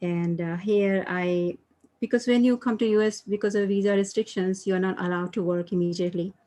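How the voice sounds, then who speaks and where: A woman's voice: mid-range voice, steady tone, brisk, mellow. A woman from India, a guest coach telling her story in a casual interview, rambling with many fillers and restarts. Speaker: guest author and mindfulness coach.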